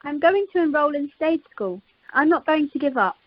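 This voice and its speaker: A woman's voice: high-pitched, lively intonation, moderate pace, no room sound. A woman playing an eager, coy and playful romantic woman in an amateur radio play over a phone line. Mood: playful, determined. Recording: muffled telephone conference line.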